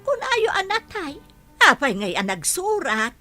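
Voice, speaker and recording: A woman's voice: extremely high pitch, wildly swooping pitch, measured pace, sharp tone, soft background music, full character voice. An actress in a radio drama playing a puzzled, fussy elderly grandmother.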